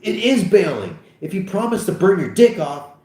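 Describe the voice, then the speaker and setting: A man's voice: sits high, sing-song, normal speed, darkish tone, voice pushed up. A man voicing an aggrieved, whiny teenage boy who accuses his best friend, as part of a script reading. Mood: hurt, accusing. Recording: echoey room.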